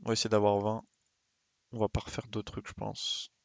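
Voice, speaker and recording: A man's voice: fairly low pitch, monotone, normal speed, creaky, no echo. A man doing casual live game commentary, thinking aloud in informal slang and exclaiming as he plays. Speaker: game streamer.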